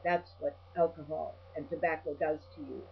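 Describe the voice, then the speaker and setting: A woman's voice: deep voice, fairly even intonation, moderate pace, creaky. An older woman delivers a rambling, personal monologue as a video blogger. She is blunt and salty, given to ranting. The recording is dull and lo-fi, with a faint electrical hum behind her. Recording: dull lo-fi audio with mains hum.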